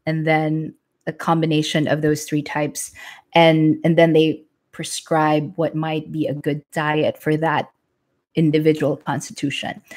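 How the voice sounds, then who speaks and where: A woman's voice: deep voice, darkish tone. A woman in her forties, a yoga teacher from the Philippines, talking frankly and casually to viewers on a live stream, often restarting her sentences. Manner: chatty, frank, restarts sentences, laughs lightly.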